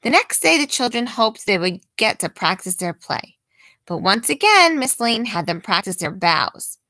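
A woman reading a children's picture book aloud in an expressive way with deliberate emphasis. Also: a little high, no echo.

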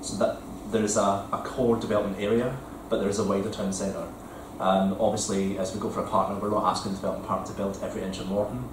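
Low-voiced man, very echoey meeting room. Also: little pitch movement, noisy background. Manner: measured, explanatory, frequent ums. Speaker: council regeneration officer. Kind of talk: answering questions at a council meeting. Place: large council committee room. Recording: echoey committee room from far off.

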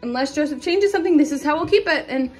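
A woman's voice: high voice, fast, a little mellow. A woman, casually narrating a DIY project in her home kitchen on a handheld phone, rambling with lots of ums and restarts. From Nebraska, USA. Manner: chatty, rambling, many "um"s and restarts. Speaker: farm vlogger. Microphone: handheld phone camera.

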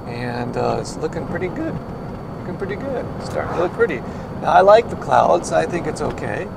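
Gravelly man narrating a mountain drive. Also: on the high side, fairly quick. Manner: relaxed, rambling commentary with fillers. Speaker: road trip vlogger. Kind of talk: casual narration while driving and walking. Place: in a car on a mountain road, then outdoors at a parking area. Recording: noisy car and outdoor recording. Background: car and road noise.

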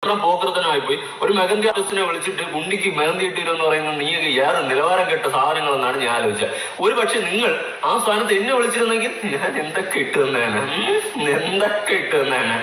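Guy with ranting sarcastically, talks fast, roomy far-off mic. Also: a little high, expressive, crowd noise. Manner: scolding, sarcastic. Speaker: reaction video commentator. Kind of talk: informal reaction commentary. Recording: roomy, distant mic, faint noise.

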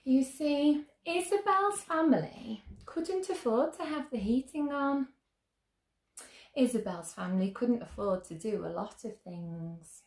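A young woman reading a picture book aloud to children as a storyteller, expressively, with gentle questions to her listeners. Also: a little high, expressive, unhurried. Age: young adult. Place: small room at home, indoors. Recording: roomy indoor echo.

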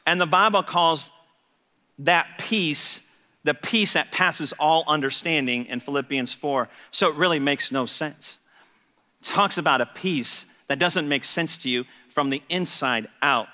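An American man preaches in a fairly high, bright and forceful voice. He is a pastor delivering a sermon, leaning on key words and repeating phrases for emphasis. The sound rings with the long reverb of a hall. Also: expressive, normal speed.

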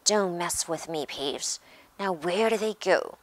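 A woman's voice: lowish voice, slow, dry recording. Woman as a curt, angry male caretaker. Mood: irritated. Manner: curt, demanding. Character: gruff, angry, impatient male school caretaker on the hunt.

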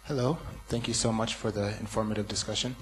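A man's voice: fairly low pitch, steady tone, quick pace. A man from the audience politely asking the panel a question, with hesitant fillers. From United States. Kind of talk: question from the floor. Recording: reverberant hall with faint hum.

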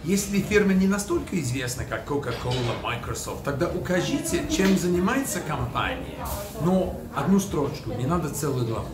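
A man in his fifties hosting a casual advice vlog, speaking straight to the camera in a restaurant room with a lively, direct manner. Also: on the high side, moderate pace, recorded from a distance, a crowd in the background. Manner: lively, direct, talks with his hands while holding a glass. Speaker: video host. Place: indoors in a decorated restaurant room. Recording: roomy, a little off-mic, music underneath.

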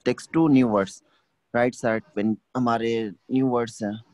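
A man teaching an online language class, explaining slowly and checking that students follow. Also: medium pitch, fairly even intonation, darkish tone, no room sound. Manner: patient, explains slowly, checks understanding often. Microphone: video call.

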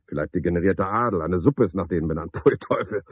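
An actor playing a cynical, scheming night porter who talks to himself in a sardonic, pedantic way in a radio play monologue. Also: male voice, low voice, fast talker, husky, dry recording. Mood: disgusted. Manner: sardonic, pedantic, swinging from muttering to outbursts. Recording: dull, lo-fi, dry studio radio recording.

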